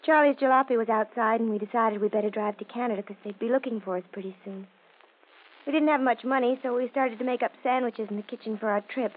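High-voiced, overwrought teenage girl played by a woman. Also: quick pace, lightly acted. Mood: subdued, confessional. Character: seventeen-year-old girl, lovestruck, overwrought, eerily matter-of-fact killer. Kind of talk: old-time radio drama acting. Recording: tinny narrow-band old radio recording.

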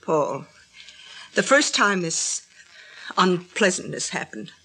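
A worried wife and mother in a radio play. She is measured and placating, reasoning patiently in a bright, pointed voice. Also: fairly low pitch, big pitch swings, breathy edge, full character voice, poor audio. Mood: pleading. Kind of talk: radio drama acting.

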